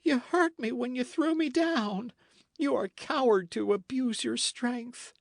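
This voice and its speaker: A man's voice: high-pitched, animated, measured pace, no room sound, voice pushed up. A small, tearful lad begging to stay loyal, as a male narrator puts him on, his voice lifted well above the reader's own and full of rise and fall. The dry, close storybook recording keeps it intimate. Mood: sobbing. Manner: pleading, plaintive. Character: timid stubborn young lad, loyal and tearful.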